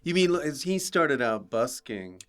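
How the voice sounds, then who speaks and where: Smooth-voiced American man hosting a chat. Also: mid-range voice, very animated, measured pace. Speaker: podcast host, comedian. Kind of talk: casual chat.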